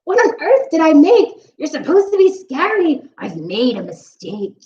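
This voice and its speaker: A woman's voice: high voice, big pitch swings, a little slow, darkish tone, voice pushed up. A woman doing a grumpy storybook witch who barks orders and scolds. Her voice swings sharply up and down. It is recorded in a roomy space, slightly dull. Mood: scolding. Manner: snappish and scolding. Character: bossy, grumpy female witch.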